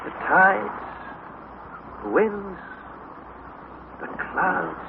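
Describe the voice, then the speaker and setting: An actor playing an earnest, wondering young nephew on an expedition, in crisp 1960s radio drama style. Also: male voice, high-pitched, extremely slow, exaggerated voice. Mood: curious, amazed. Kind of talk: 1960s radio drama acting with scripted narration. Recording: tinny, hissy vintage radio broadcast.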